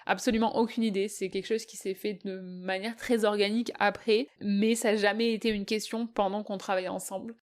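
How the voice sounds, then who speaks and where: A woman's voice: medium pitch, fairly even intonation, fairly quick, hardly any pauses. A French woman from southeast France talking casually in a podcast, friendly and conversational, with frequent hesitations. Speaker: podcast host.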